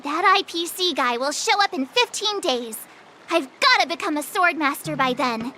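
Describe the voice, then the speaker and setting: An actress playing an eager, naive, excitable teenage apprentice swordfighter in an anime-style video game, with an earnest, plucky delivery. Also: female voice, high-pitched, moderate pace, slight background noise. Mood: confident.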